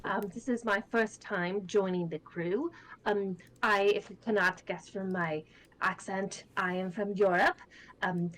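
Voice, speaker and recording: A woman's voice: fairly high pitch, fairly bright tone, rough recording. A woman player introducing her character, speaking carefully and with measure.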